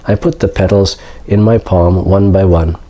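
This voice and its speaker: A man in his thirties reading a literary short story aloud in a measured way, recorded in a living room a few feet from the camera. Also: low voice, fairly even intonation, warm tone, low-quality recording. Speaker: story reader. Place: indoors, living room with books and brick fireplace.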